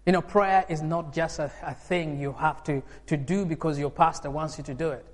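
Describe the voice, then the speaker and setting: A man in his forties from Uganda, preaching a sermon in a lively way with emphatic bursts and laughs. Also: on the high side, moderate pace. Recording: long hall reverb.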